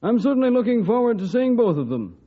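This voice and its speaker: An actor playing a courteous, dignified government secretary, polite and formal, in an old-time radio drama. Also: male voice, very high voice, sing-song, fast, mellow, full character voice. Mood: gracious, pleased. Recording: thin, phone-like old radio recording.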